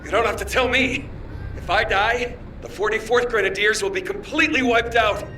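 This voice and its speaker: An actor playing a fiery, defiant grenadier captain in a video game, loud and forceful. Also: male voice, high voice, moderate pace, a little hiss or hum. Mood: desperate, defiant. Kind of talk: video game combat dialogue. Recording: distant, with faint music.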